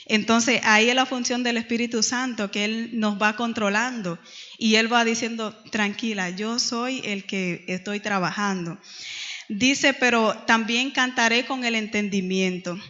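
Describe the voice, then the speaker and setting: Middle-aged Dominican woman, Bible class teacher; bright tone, reverberant hall, muffled recording. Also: fairly high pitch. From Dominican Republic. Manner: storytelling with many hesitations. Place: church hall. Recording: dull lo-fi church recording with long reverb.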